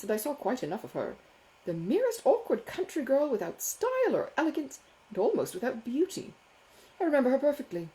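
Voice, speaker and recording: A woman voicing a foppish, self-satisfied young gentleman in a classic novel, with an affected, gushing, condescending manner. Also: mid-range voice, close to an everyday voice, rough recording. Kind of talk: dramatised audiobook dialogue from a classic novel.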